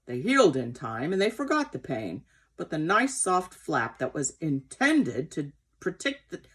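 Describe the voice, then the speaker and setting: A woman voicing a gentle but indignant old male horse in a storybook, speaking in an emphatic, aggrieved and dramatic way. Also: deep voice, husky, heavily acted.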